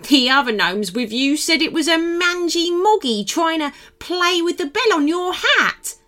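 A woman's voice: very high-pitched, expressive, unhurried, sharp tone, pitched above the performer's own voice, exaggerated voice. A woman voicing a grumpy older male gnome in a children's story, sarcastic and exasperated as he snaps.

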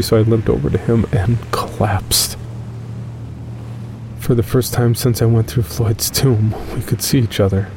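A man playing a shaken, weary caver who tells his horror story from a journal in a measured, solemn way. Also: on the low side, background noise, natural voice. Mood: weary, grim. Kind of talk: first-person horror narration.

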